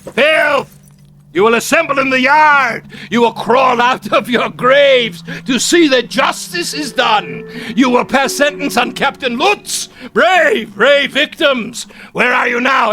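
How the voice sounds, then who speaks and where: A man's voice: very high-pitched, slow, bright, forceful tone, faint music behind, full character voice. A man playing an arrogant, sneering former Nazi camp captain who is unraveling, in theatrical radio drama style. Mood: furious, frantic. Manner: theatrical, sneering, agitated outbursts. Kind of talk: classic radio drama acting.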